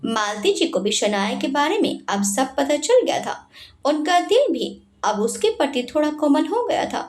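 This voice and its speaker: A woman narrates a romantic story in an expressive, read-aloud manner. Her voice is high and very lively in pitch. The recording has a roomy echo. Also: measured pace.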